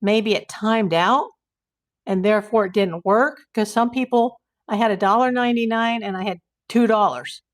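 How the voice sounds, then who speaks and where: A woman hosting a casual livestream, hoarse and losing her voice, rambling in exasperation while she reads viewers' comments. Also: medium pitch, moderate pace. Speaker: YouTube livestream host.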